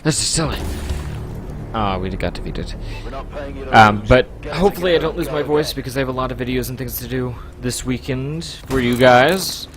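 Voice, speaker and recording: A man's voice: medium pitch, moderate pace, music in the background. Rambling, chatty male streamer. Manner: rambling, chatty, with frequent ums. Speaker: livestreamer. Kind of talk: casual livestream chat.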